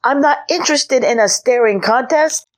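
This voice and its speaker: A woman voicing a pompous six-year-old boy knight who makes grand, loud declarations. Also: sits high, normal speed, pitched above the performer's own voice, natural voice. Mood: boastful.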